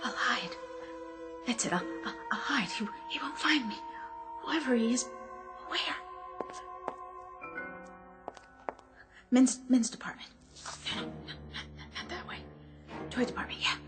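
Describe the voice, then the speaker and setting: A woman's voice: a little high, expressive, normal speed, pauses often, lightly acted. An actress playing a frightened young woman trapped alone, stammering breathlessly and pleading in a radio drama. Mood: panicked whispering. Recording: radio drama with clear music underneath. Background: dramatic music.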